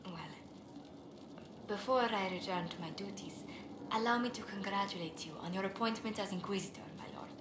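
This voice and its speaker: A refined woman diplomat acted by a woman in a fantasy video game, earnest and courteous. She has a medium-pitched voice and formal phrasing. The recording is echoey, with clear noise behind it. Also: brisk, lightly acted. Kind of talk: scripted video game dialogue.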